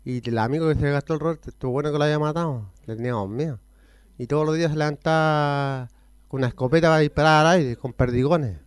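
Chilean guy, rambling storyteller, smooth dry close voice. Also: a little high, quick pace, no echo. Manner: rambling, jumps between topics with repeats. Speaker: interviewee on hospital radio.

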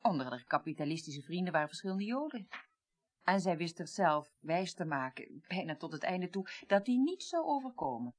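A weary, well-read woman of middle age, with a rather low voice. She recounts the past in careful, articulate sentences, pausing for weight as in an eighties radio play. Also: big pitch swings. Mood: firm. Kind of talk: 1980s radio play acting.

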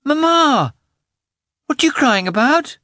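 A man voicing a small, affectionate, excitable boy in an audiobook, with eager, childlike exclamations. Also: fairly high pitch, a little slow, dry recording, studio quality, pitched above the performer's own voice, full character voice. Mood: fretful. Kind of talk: audiobook character voice.

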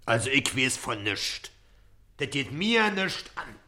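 A man's voice: mid-range voice, sing-song, takes it slowly, bright, forceful tone, full character voice. An actor playing a sly, stubborn working-class boatman in a radio play, folksy and evasive, with a Berlin accent. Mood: feigned innocence. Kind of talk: radio play dialect comedy acting.

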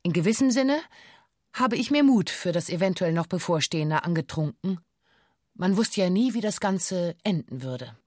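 Woman hostage witness for radio drama, lowish voice, stiff formal factual statement, super dry sound. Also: animated, darkish tone, no echo. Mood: sober, frank. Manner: formal, measured, factual recounting. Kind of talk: scripted documentary radio play monologue.

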